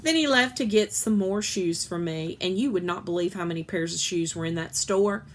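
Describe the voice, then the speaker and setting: A woman reads a first-person children's story aloud in an expressive narrating style. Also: lowish voice, normal speed. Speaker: story reader.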